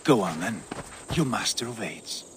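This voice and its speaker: A man's voice: lowish voice, animated, moderate pace, a little hiss or hum, natural voice. Grandiose Viking jarl, acted by a man. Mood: impatient. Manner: grandiose, declamatory. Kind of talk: video game cutscene acting.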